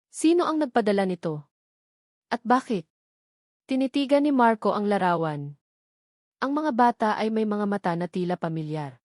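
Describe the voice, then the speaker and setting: Woman, Filipino accent, story narrator, scripted romance drama, medium pitch, dry recording. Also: a little mellow, no echo.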